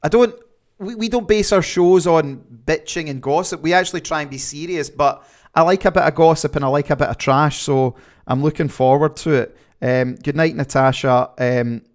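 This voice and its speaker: A man's voice: on the high side, moderate pace. A man with a Glaswegian accent hosting a livestream, chatting casually and reading viewer comments, rambling with many fillers. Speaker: livestream host.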